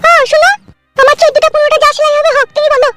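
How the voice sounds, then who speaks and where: A woman's voice: very high-pitched, level tone, racing, very noisy. A woman voicing an aggrieved, plaintive village wife in a comedy cartoon. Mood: hurt, nagging. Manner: plaintive, nagging.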